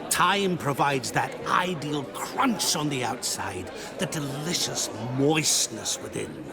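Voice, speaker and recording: An actor playing a mysterious, theatrical man who teases a crowd with riddles in a video game, speaking in a savouring, deliberate manner. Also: on the high side, lively intonation. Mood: teasing, savouring. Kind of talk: video game dramatic acting. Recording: crowd noise in a public space.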